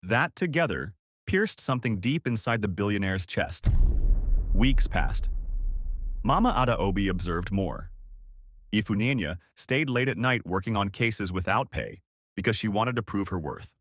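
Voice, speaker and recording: A man reading a scripted, dramatic story in a measured storytelling manner. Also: fairly low pitch, lively intonation, fairly bright tone. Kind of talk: scripted narration of a dramatic folktale-style story.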